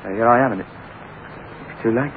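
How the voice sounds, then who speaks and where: A man's voice: medium pitch, fast, narrow, tinny audio, natural voice. An actor playing a distraught, guilt-haunted young man in a 1960s radio drama. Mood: distraught, insistent. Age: young adult. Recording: noisy band-limited old radio recording.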